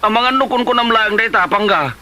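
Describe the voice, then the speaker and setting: A man's voice: high-pitched, lively intonation, a little fast, sharp tone, heavily acted. A man playing a weary, exasperated husband in an Ilocano comedy drama, whining and sputtering with an Ilocano Filipino accent. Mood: baffled, protesting. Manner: whining, sputtering repeated exclamations. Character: exasperated, weary husband who pleads with his wife. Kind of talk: Ilocano comedy radio-style drama acting.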